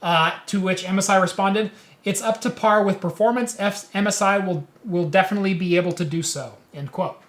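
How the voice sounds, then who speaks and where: A man's voice: high-pitched, fairly quick. A man hosting a casual tech and beer talk show, reading quotes aloud and joking with his co-host. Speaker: podcast host. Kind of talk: casual chat.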